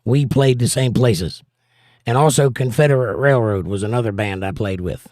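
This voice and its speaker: A man with a hoarse, partly lost voice, hosting a casual livestream and giving plain-spoken, folksy advice while reading viewer comments. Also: mid-range voice, moderate pace, a little mellow, dry recording, clean studio sound. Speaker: livestream host and pastor.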